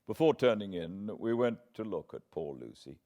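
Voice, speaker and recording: A British man reading a classic novel aloud as an audiobook narrator, measured, solemn and dramatic. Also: fairly low pitch, lively intonation, warm tone, muffled recording. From Britain.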